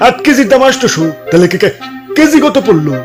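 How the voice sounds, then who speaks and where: A man's voice: very high voice, big pitch swings, fairly quick. A man voicing a skeptical, complaining customer in a comic cartoon, with a Bangladeshi accent and stammering, questioning delivery. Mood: doubtful. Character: skeptical, complaining male watermelon shopper, easily exasperated. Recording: music under the voice.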